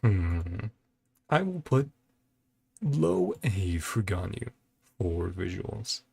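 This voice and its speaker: A man's voice: lowish voice, animated, very slow, creaky, dark tone, breathy edge. A man giving casual reaction commentary, thinking aloud in short, hesitant fragments over music. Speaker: host of a reaction and ranking video. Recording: music playing behind the voice. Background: game trailer music.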